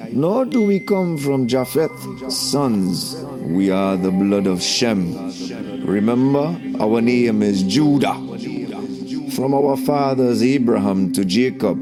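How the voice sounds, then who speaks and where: A man with a low, gravelly voice delivers scripted spiritual teaching. He speaks very slowly, in a solemn and declamatory manner. Music plays clearly behind his narration. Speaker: narrator and host of a spiritual story series. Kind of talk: scripted narration, preachy spoken-word teaching. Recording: voice over background music.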